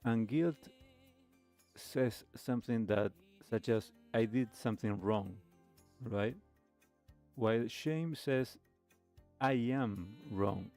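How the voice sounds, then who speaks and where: A man hosting a talk show, giving reflective commentary in measured, halting phrases with pauses between short clauses. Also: medium pitch, a little mellow. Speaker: host of a live retro music talk show. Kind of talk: reflective commentary, reacting to a video. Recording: music playing behind the voice. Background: music with guitar.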